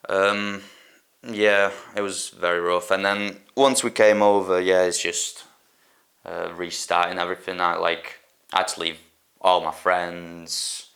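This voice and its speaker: A man's voice: low voice, level tone, a little slow, a bit bright. A young man from Hungary whose first language is Hungarian, talking in a casual documentary interview, hesitant and searching for words with lots of likes and ums. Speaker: band drummer.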